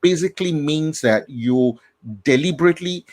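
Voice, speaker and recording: A man's voice: fairly high pitch, normal speed, darkish tone. A middle-aged man with a Nigerian accent, hosting a talk and explaining ideas about the brain, repeating key phrases and checking in with his listener. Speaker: host.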